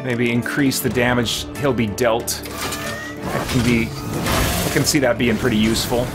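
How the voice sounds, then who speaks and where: A middle-aged man hosts a casual gaming livestream from a small room at home, with a mid-pitched voice. He muses aloud as he plays, drops mild folksy exclamations and reads out chat messages. Game music plays clearly behind him. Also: steady tone, unhurried. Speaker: livestream host playing a video game. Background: video game music.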